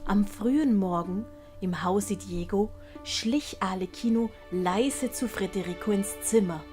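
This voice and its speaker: A woman's voice: medium pitch, unhurried, dark tone, soft background music. A woman narrating a scripted children's audiobook as its storyteller.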